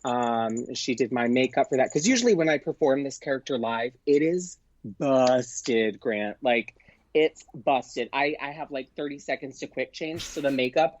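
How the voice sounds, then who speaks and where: A man chatting casually as a podcast guest, telling stories in an animated way and acting out what people said. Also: mid-range voice, moderate pace, dull audio, a little hiss or hum. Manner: animated, acts out quoted exchanges. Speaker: podcast guest, performer and producer.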